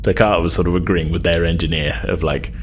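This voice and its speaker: A man's voice: sits low, fairly even intonation, fast talker, a little hiss or hum. A man casually narrating a tabletop roleplaying game as game master.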